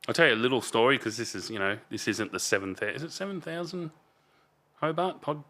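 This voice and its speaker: A man in his fifties with an Australian accent and a loud voice, telling stories as a podcast guest in a rambling way, trailing off and restarting a lot. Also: medium pitch, expressive, fast talker, a little hiss or hum. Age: 50s. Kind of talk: casual storytelling chat.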